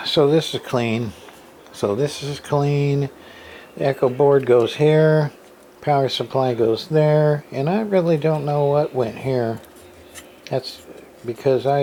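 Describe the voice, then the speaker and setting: A man with a mid-pitched voice talks through hands-on repair work at a slow pace. He rambles casually and haltingly, often muttering to himself as he works things out. Noticeable background noise and room ambience sit behind his voice. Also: dark tone. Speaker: hobbyist radio repairer. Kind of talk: casual hands-on repair narration, thinking aloud.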